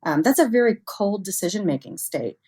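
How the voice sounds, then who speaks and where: A woman's voice: medium pitch, animated, brisk, warm tone, slightly rough. A woman speaking as an expert interview guest, explaining things conversationally with everyday examples. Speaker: interview guest, expert on human error in cybersecurity.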